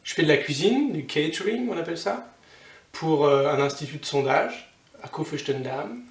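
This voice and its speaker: A man's voice: on the high side, lively intonation, moderate pace, creaky. Young French man, distant and echoey. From France. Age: 20s. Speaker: interviewee. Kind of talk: casual interview answers. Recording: roomy, distant.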